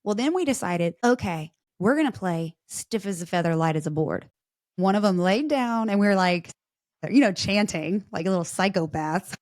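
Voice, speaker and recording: Animated woman in her forties telling stories. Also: mid-range voice, normal speed, no echo, studio quality. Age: 40s. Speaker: podcast guest. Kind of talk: casual storytelling chat.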